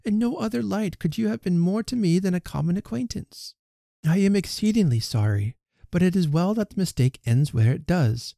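Audiobook: proud, indignant young lady of an old novel, put on by a male reader, high and crisp, dry close sound. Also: lively intonation, normal speed, mellow, dry recording. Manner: crisp, emphatic, rising protest.

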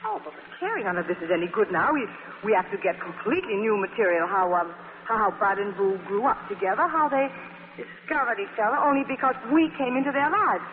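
Actress playing a commanding magazine editor on noisy old radio. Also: female voice, mid-range voice, lively intonation, narrow, tinny audio, natural voice. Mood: brisk, insistent. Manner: commanding, brisk, theatrical. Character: brisk, bossy woman magazine editor, proud and dramatic. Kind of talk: 1940s radio acting. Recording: noisy, band-limited 1940s radio broadcast.

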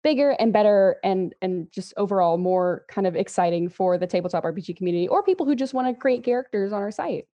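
A young adult woman from Texas, chatting as an interview guest, lively and quick to laugh. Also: medium pitch, dull audio. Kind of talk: casual interview chat.

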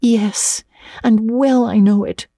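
A woman doing a dramatic young storybook girl, her voice pitched well above a grown woman's and tumbling out in eager, breathless rushes. She stammers when upset and swoons over every small joy. The sound is close and dry. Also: steady tone, dark tone, dry recording. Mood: mournful. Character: excitable talkative orphan girl, dramatic and eager. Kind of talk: audiobook character voice.

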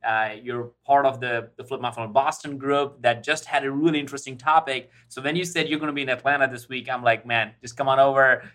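Guy hosting a podcast, bright clear-cut tone, mid pitch, chatty and informal. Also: fairly even intonation, fast talker, hardly any pauses. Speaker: podcast host. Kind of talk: casual podcast interview.

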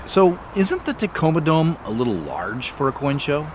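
A man's voice: fairly high pitch, big pitch swings, moderate pace, noisy background. A man playing a wary, dry-witted amateur sleuth in an audio drama, guarded and terse in his delivery. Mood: wary, puzzled. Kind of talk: naturalistic audio drama acting with first-person narration.

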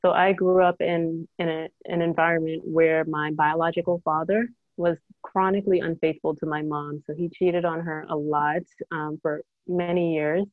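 A woman's voice: low-pitched, flat delivery, normal speed, hardly any pauses, no room sound, narrow, tinny audio. A woman from Trinidad telling her personal story as an interview guest, a naturopathic doctor, over thin call audio. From Trinidad, then the United States.

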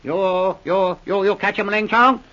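An actor playing an agitated elderly Chinese shopkeeper with a Chinese accent and halting pidgin English, in 1940s radio drama style. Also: male voice, sits high, bright, forceful tone. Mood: agitated, demanding. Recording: muffled old radio recording.

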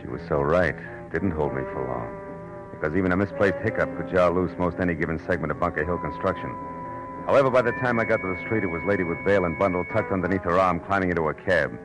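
An American actor playing a wry, world-weary, wisecracking private detective in a 1940s radio drama. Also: male voice, deep voice, fast talker, close to an everyday voice, rough recording. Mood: tense narration. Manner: wisecracking, sardonic. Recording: old radio broadcast with music.

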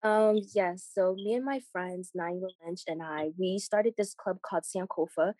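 Earnest young woman with a lower voice. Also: normal speed, darkish tone, no echo. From born in Haiti, grew up in Boston. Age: late teens, young adult. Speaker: scholarship recipient, podcast guest. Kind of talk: personal account in a casual interview.

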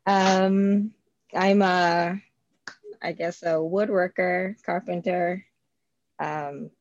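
Guest: woman in her thirties, slow, halting delivery, lower voice, dull dry lo-fi recording. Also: fairly even intonation, a little mellow, no room sound. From Bahamas. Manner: hesitant, many fillers, laughs often. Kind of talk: casual interview.

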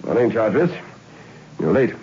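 A man's voice: lowish voice, big pitch swings, moderate pace. An actor playing a troubled, brusque male prison governor, a military type, in a 1960s radio drama. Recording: muffled old radio recording, slight noise.

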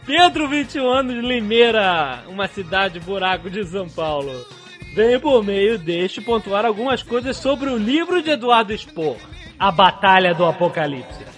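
A man's voice: sits high, lively intonation, a little slow, fairly bright tone, faint music behind. A Brazilian man co-hosting a casual comedy podcast, jokey and exclamatory, chatting and reading out listener mail. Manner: jokey, exclamatory, prone to laughing. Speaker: podcast co-host.

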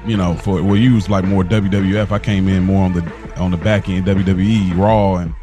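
A man's voice: low voice, steady tone, moderate pace, over music. A man co-hosting a podcast, chatting casually and conversationally. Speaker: podcast co-host. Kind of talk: casual chat.

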